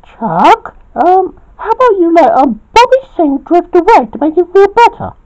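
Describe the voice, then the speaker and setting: Man doing a very squeaky, meddling little character. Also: big pitch swings, moderate pace, a little mellow, dull audio. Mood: curious. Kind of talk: amateur storytelling with acted dialogue.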